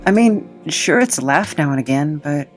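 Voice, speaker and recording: A man's voice: a little high, lively intonation, moderate pace, soft background music, natural voice. A man playing a weary, drifting young adventurer in an audio drama, hesitant and resigned. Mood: wistful, resigned.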